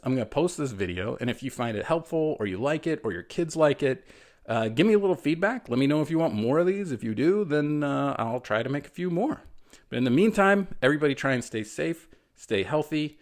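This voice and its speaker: A man's voice: a little high, sing-song, moderate pace. A man doing a friendly, encouraging storytime reading for children, with casual asides.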